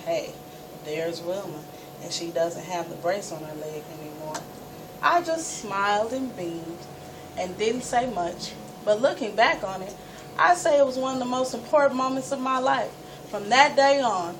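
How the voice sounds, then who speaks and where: A woman storyteller reading a first-person story aloud with dramatic, emphatic delivery and deliberate pauses. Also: mid-range voice. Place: live event space, recorded from a distance. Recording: distant mic, noisy room ambience.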